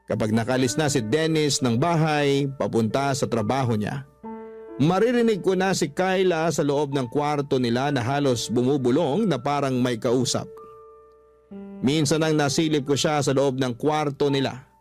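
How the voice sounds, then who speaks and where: Guy acting filipino radio story narrator, middle pitch, measured scripted telling, faint music under him. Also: a little mellow, natural voice. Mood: reflective. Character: letter sender recounting suspicions about household girlfriend.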